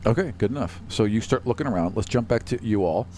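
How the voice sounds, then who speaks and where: A man narrating a tabletop role-playing game as game master, halting and descriptive with casual asides. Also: on the low side, lively intonation, a little mellow, slight background noise.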